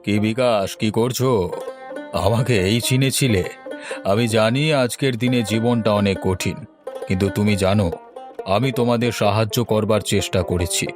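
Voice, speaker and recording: A man voicing a stern village landlord with a soft heart in a cartoon drama, measured and earnest. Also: fairly low pitch, lightly acted. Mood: remorseful. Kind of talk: Bengali cartoon drama dubbing. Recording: music under the voice.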